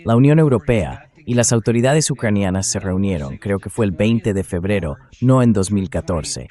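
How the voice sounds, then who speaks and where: A man's synthetic voice-over reading translated political commentary in a measured, explanatory way, as an interview guest. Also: lowish voice, a little mellow.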